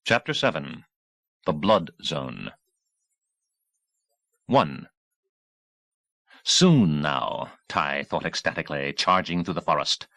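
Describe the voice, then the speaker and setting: A male audiobook narrator with a low voice delivers dramatic action prose. His phrasing is intense and deliberate, falling in short bursts. The sound is dry, with very little room on it. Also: very animated, vocal fry, fairly bright tone, no room sound.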